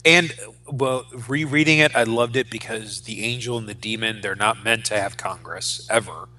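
A man's voice: on the low side, moderate pace, pushed and bright, poor audio. A man in his forties co-hosting a podcast, chatting casually and emphatically about pop culture, often repeating phrases as he goes. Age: 40s. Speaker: podcast co-host.

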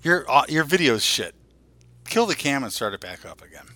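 A man in his forties with a bright, forward voice at an ordinary male pitch. He chats casually as a podcast host, blunt and freely swearing. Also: big pitch swings, moderate pace. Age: 40s. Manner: profane, blunt. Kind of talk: casual geek-culture chat.

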